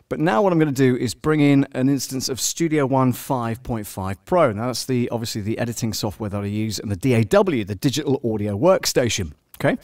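A man in his fifties giving a casual live software tutorial, chatty and halting as he thinks aloud, on a close studio microphone. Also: medium pitch, dry recording. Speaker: live-streaming audio producer demonstrating software. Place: small home studio indoors.

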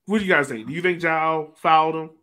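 A man's voice: fairly high pitch, quick pace, sharp tone. A man hosting a casual soccer discussion over a video call, moving the panel along. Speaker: soccer podcast host. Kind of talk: casual chat, moderating discussion.

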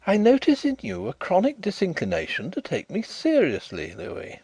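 A man voicing a composed gentleman detective who speaks in a measured, detached way. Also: sits high, wildly swooping pitch, gravelly, noisy background, pitched above the performer's own voice, heavily acted.

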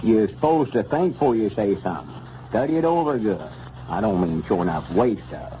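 Mellow, pompous old Southern country justice. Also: male voice, on the low side, very animated, fairly quick. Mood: exasperated. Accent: rural Southern US. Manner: folksy, pompous, drawling. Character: exasperated, self-righteous old country justice of the peace. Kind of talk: 1940s radio comedy acting. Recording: muffled, noisy old radio recording.

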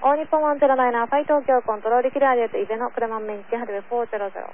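A woman's voice: fairly high pitch, steady tone, quick pace. A woman working as an air traffic controller, speaking clipped radio phraseology over a noisy, narrowband aviation channel. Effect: aviation radio transmission.

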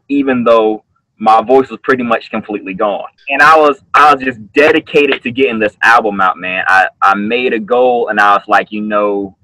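A man, a singer, talking casually on a video call from a room at home, explaining earnestly with many halting restarts. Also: medium pitch, bright, forceful tone, no echo, poor audio. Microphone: webcam on a video call.

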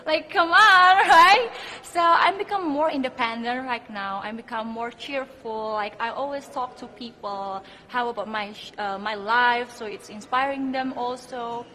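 A young woman with an Indonesian accent speaks in a fairly high voice, answering interview questions earnestly and emphatically. Her English has non-native phrasing. There is clear noise around her. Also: a little slow, few pauses, pushed and bright. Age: 20s. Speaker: beauty pageant contestant. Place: indoor press backdrop at a pageant event. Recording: clearly noisy room ambience.

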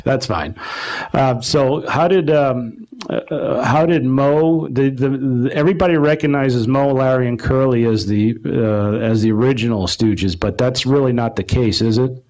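A man acts as interviewer at a club gathering, speaking in a mid-pitched voice. His delivery is informal and halting, with stammered starts as he puts his questions. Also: a little mellow, low-quality recording. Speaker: interviewer hosting a club meeting.

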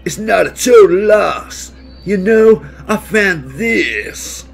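A man's voice: high voice, expressive, slow pace, vocal fry, pitched above the performer's own voice, exaggerated voice. A man voicing a big, jolly, theatrical bear from a storybook, exuberant and grandiloquent. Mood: amused, gushing.

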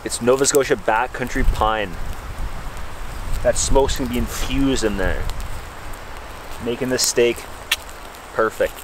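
A man's voice: medium pitch, unhurried, pauses often. A young man bantering to camera while cooking over a campfire in snowy woods, recorded outdoors. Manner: joking, laid-back banter to camera. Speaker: outdoor vlogger. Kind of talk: casual camp cooking vlog. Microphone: camera a few feet away. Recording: outdoor recording with clear background noise.

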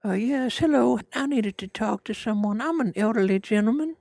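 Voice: medium pitch, lively intonation, normal speed, creaky, a little mellow, no echo, pitched above the performer's own voice. A performer putting on a frail, pleading, persistent elderly caller for a prank phone call. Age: older.

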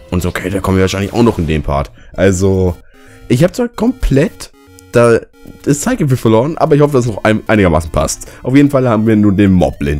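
A young man with a slightly hoarse, cold-worn voice, commentating a fighting game and ranting with lots of swearing. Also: low voice. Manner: swears a lot, rants and shouts at the game. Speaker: let's player. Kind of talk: gaming commentary. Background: game music.

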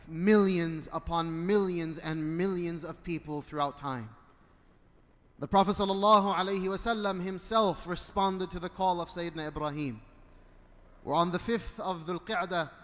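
A man in his thirties preaching a sermon as an imam, phrasing deliberately and emphatically in short bursts. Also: high voice, a bit bright. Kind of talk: sermon with Arabic recitation. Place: indoor prayer hall at a pulpit. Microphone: microphone on a stand. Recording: long hall reverb.

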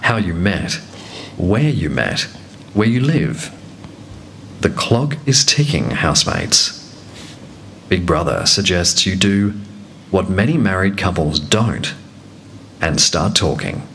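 Slow deep-voiced man announcing. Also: sing-song, creaky, a bit bright, slight background noise. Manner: slow, measured, commanding. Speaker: reality show voice of Big Brother. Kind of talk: scripted announcements.

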